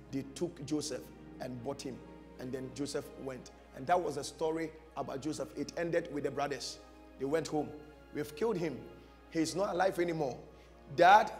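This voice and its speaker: A man's voice: fairly high pitch, a little mellow. Preacher, man, reverberant hall. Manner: emphatic, repeats short phrases, acts out dialogue. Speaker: pastor preaching. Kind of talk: dramatic storytelling sermon. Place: large church stage before a seated audience. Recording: music behind, long hall reverb.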